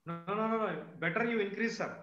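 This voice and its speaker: A man's voice: high voice, fast talker, a bit bright. A man with an Indian English accent, chatting jovially with colleagues over a video call. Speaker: conference speaker. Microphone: computer mic on a video call. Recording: echoey, noisy, muffled video call.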